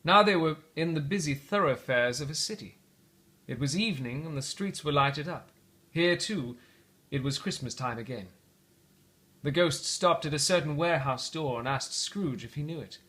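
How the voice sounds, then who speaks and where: A man narrating a story aloud in a theatrical, expressive read-aloud style. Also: on the high side, normal speed. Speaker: storybook reader.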